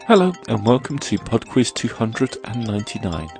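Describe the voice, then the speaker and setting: A man hosting an audio quiz podcast, reading the questions in a measured way, his voice a little croaky from a cold. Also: fairly low pitch, very animated, over music. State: has a cold, slightly croaky. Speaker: quiz host.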